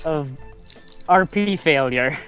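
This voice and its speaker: A man chatting casually as a player in a tabletop game, in a halting, hesitant way. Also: fairly high pitch, lively intonation, fairly bright tone, soft background music. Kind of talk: casual group chat during a game.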